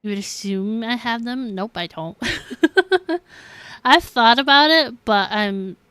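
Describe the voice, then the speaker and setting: A young woman livestreamer chatting casually with viewers in a halting, conversational, giggly way while playing a game. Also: a little high, animated, sharp tone, clear, resonant tone, dry recording. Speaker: game streamer.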